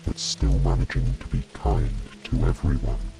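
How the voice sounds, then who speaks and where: A man voicing a remorseful, reflective lord of a lonely chateau in a bedtime story, soft and woeful. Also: close to an everyday voice. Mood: regretful, reflective. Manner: woeful, halting, confessional. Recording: rain and music behind.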